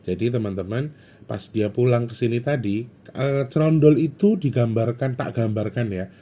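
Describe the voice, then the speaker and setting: A man's voice: mid-range voice, normal speed, mellow. A man, talking to his viewers in a loose, rambling, chatty way and slipping into phrases. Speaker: spiritual content vlogger. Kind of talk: casual rambling monologue to viewers.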